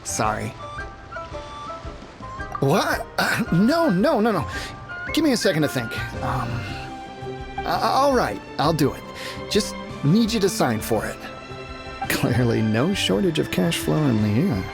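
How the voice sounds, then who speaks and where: An actor playing a reluctant, easily flustered merchant in a video game. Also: male voice, on the high side, big pitch swings, takes it slowly, gravelly, pauses often, music in the background, exaggerated voice. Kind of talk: video game character acting.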